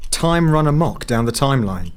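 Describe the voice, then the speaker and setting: A man's voice: medium pitch, expressive, normal speed, lightly acted. A man playing a stern, terse, aloof agent who guards time in an audio drama.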